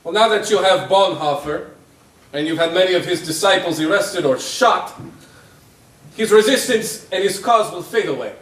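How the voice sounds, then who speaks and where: Male actor as cynical Italian fascist schemer, theatrical, persuasive, raised pitch, distant echoey stage recording. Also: animated, moderate pace, fairly bright tone. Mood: scornful.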